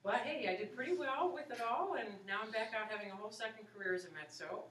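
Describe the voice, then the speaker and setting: Chatty woman in her 40s, bright voice, recorded from far off in an echoey school hall. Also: a little high, quick pace. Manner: chatty, encouraging, many "you know"s. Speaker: opera singer and voice teacher, guest speaker. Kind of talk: casual talk and Q&A with students. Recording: echoey room, far from mic, faint hiss.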